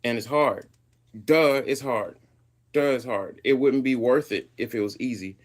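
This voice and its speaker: A man's voice: mid-range voice, measured pace. A man hosting a casual livestream, reading chat and answering viewers in a loose, slangy way; he grew up speaking Swahili. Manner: laid-back, reads and answers live chat, loose slangy talk. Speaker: livestream host.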